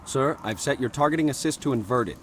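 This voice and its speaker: A businesslike male marine corporal played by an actor in a video game, deferential and procedural. His medium-pitched voice moves expressively over faint background noise. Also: lively intonation, brisk, natural voice.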